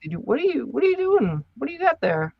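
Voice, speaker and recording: A woman's voice: sits high, very animated, quick pace, creaky, no echo. Casual woman podcaster, slightly dull sound. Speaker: podcast co-host.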